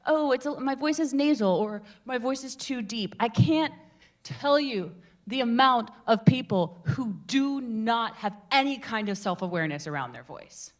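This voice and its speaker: A young woman leading a casual public speaking workshop, chatty and animated, breaking off mid-sentence and asking the group questions. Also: fairly high pitch, moderate pace. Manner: chatty, animated, self-interrupting, asks the room questions. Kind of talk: informal workshop teaching. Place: meeting room with a live group.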